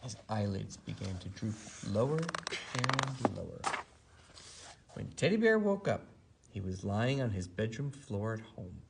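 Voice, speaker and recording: A man reading aloud to a child in an expressive, animated storytelling manner. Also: on the low side, measured pace, vocal fry, noisy background. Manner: animated, acts out character lines. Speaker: storybook reader. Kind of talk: expressive read-aloud of a children's story, then casual chat.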